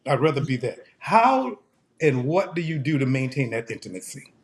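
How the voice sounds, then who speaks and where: A man with a Trinidadian lilt hosts a relaxed talk, his voice rising and falling in a very lively way. He sounds preachy and keeps checking in with "right?" His pitch sits in the middle. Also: moderate pace. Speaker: host. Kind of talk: casual podcast conversation.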